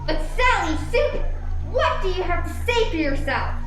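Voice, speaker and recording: A woman acts out a tyrannical lady mayor in a children's radio play. She is bossy and scornful, with a very high voice that swoops up and down. Music plays clearly behind her. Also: sing-song, moderate pace. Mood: scornful rage. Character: tyrannical, boastful, sneering female town mayor. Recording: roomy sound with loud music behind.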